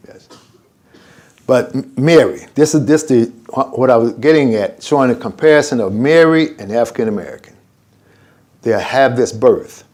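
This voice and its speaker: A man's voice: mid-range voice, normal speed. An older man teaching an informal religious lesson as imam, wandering off topic and acting out conversations. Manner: animated and digressive, acts out conversations.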